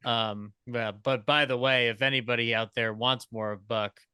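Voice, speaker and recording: Bright, clear-voiced man chatting on a panel. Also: medium pitch, steady tone, moderate pace, dry recording, clean studio sound. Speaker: podcaster, panelist. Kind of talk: casual panel chat.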